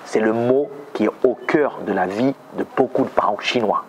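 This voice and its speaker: A man's voice: medium pitch, moderate pace. Male host from China, some background noise. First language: Chinese. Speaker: host and interviewer. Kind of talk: scripted explainer and interview.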